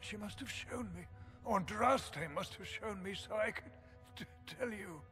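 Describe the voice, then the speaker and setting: Frail dying priest, high strained voice, halting n earnest, game drama w soft music. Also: male voice. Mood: pained wonder. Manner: halting, reverent. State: weak, strained, breathless. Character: dying male chancellor cleric, frail, earnest, devout. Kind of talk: dramatic video game acting.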